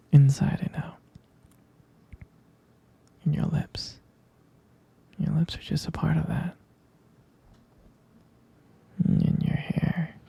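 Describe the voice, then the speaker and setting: A man speaks in a tender, adoring boyfriend ASMR murmur, slow and very soft. His voice is extremely breathy and husky, slipping into whispers, with heavy vocal fry running through it. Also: a little high, dull audio. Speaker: ASMR roleplay creator. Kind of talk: intimate boyfriend roleplay ASMR.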